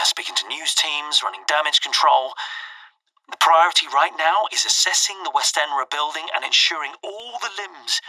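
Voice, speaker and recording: A man's voice: medium pitch, pushed and bright. An actor playing a worried man in crisis, leaving an urgent, pleading phone message with exasperated sighs. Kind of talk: audio drama phone message. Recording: distant-sounding phone message.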